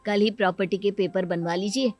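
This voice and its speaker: A woman's voice: medium pitch, fairly even intonation, a little fast, a little mellow, soft background music, pitched below the performer's own voice, close to an everyday voice. A woman voicing an earnest, accommodating grown younger brother in a cartoon moral story. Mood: conciliatory. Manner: conciliatory, earnest.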